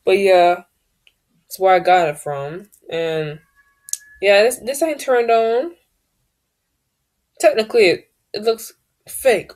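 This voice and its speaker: A woman with a deep voice, telling a personal story in a casual vlog, often hesitating and searching for words. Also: slow, long pauses, a little mellow. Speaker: vlogger.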